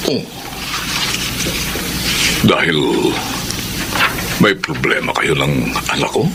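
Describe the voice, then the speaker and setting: A man's voice: extremely low pitch, animated, slow, heavily acted. An actor playing a kindly, reassuring middle-aged Filipino father in a radio drama, with avuncular little interjections. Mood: fond, concerned. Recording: background ambience noise.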